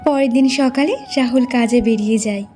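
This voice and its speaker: A woman's voice: fairly high pitch, steady tone, fairly quick, music in the background. A woman narrating a scripted cartoon folk story.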